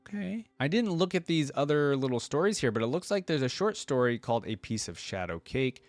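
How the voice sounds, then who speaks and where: A man's voice: mid-range voice, sing-song, a little fast, no room sound. A man hosting a reaction video, commenting casually and reading text aloud as he goes.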